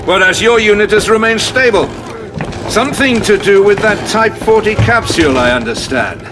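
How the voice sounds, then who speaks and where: An actor playing a dutiful, loyal army general who speaks with crisp, clipped military authority. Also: male voice, high-pitched, expressive, moderate pace, noisy background. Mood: commanding. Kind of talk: audio drama acting. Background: music score.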